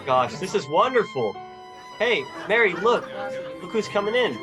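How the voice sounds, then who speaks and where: Young-sounding man as excitable, lively grateful family man. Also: high-pitched, normal speed. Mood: elated. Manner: excited, earnest, stammering exclamations. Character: George, overjoyed grateful small-town husband and father. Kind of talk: 1940s-style radio play acting. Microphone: video-call microphone. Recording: loud music and crowd behind voice.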